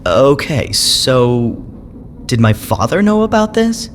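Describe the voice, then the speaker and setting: A man playing an earnest, bewildered young space adventurer in an audio drama, halting and incredulous. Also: mid-range voice, very animated, soft background music. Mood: astonished. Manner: halting, incredulous, stammering questions. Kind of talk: full-cast audio drama acting. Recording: clear noise bed behind the voice.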